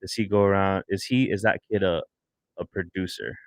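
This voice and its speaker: A man with a deep voice talks in a dry, close-miked studio. He speaks casually, as on a podcast, and argues deliberately, posing questions as he goes. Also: fairly even intonation, dry recording. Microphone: close studio broadcast mic.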